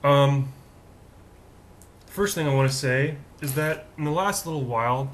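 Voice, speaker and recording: A man with a deep voice hosting his own daily vlog, rambling in a chatty, self-mocking way with lots of fillers. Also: a little slow. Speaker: vlog host. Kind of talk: casual rambling vlog monologue.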